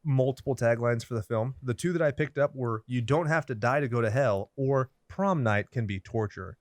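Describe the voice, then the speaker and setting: A man's voice: medium pitch, normal speed, no room sound, clean studio sound. A man hosting a horror movie podcast, joking and swearing in casual banter. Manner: crude, joking, lively. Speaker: podcast host.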